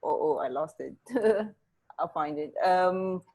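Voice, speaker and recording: A woman co-hosting a radio show in casual chat, talking in a chatty, halting, rambling way. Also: fairly low pitch, animated, dark tone.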